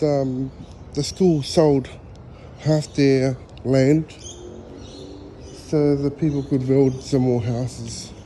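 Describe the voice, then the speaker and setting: Slow, relaxed New man outdoors. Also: on the high side, slight background noise. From New Zealand. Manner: relaxed, rambling, with hesitant fillers. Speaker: vlogger. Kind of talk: casual walking tour vlog. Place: outdoors at a school grounds.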